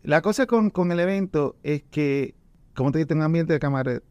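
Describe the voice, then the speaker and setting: A man's voice: fairly high pitch, normal speed, a little mellow, smooth, dry recording, dull audio. A man who grew up between two Caribbean islands, chatting casually about poetry gatherings, often repeating words as he thinks. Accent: Caribbean Spanish. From Puerto Rico and Dominican Republic. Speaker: poet and event organizer. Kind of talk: casual conversation.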